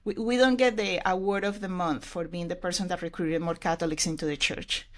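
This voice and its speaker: Woman, middle-aged, English speaker, slightly low voice, earnest, reflective, conversational. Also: fairly even intonation, fairly quick. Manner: earnest, reflective storytelling with fillers. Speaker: podcast guest, ministry leader. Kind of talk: conversational interview.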